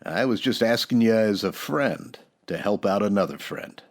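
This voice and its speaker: A man's voice: on the low side, lively intonation, moderate pace. A man playing an upright, plain-spoken Old West marshal in a radio western, earnest and folksy. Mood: calm, earnest.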